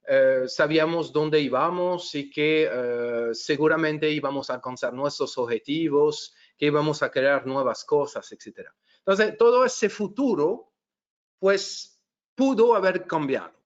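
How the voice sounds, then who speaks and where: A middle-aged man from France with a French accent, presenting a webinar in a halting way with many fillers and restarts. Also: fairly high pitch. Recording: dull lo-fi webinar audio.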